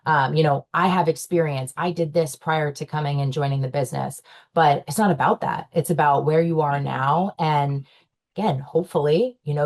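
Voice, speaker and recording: A young woman with a low-pitched voice talks casually on a business panel. She is chatty and loose, full of fillers and restarts, and laughs easily. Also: a little mellow. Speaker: panelist, owner's daughter in the business.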